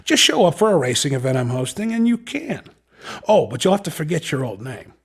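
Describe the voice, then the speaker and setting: A man playing an upbeat young hoverboard race promoter in a video game, talking in slangy, cocky sales patter with a raspy edge. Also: on the high side, sing-song, a little fast, heavily acted. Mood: persuasive, encouraging. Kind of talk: scripted video game character dialogue.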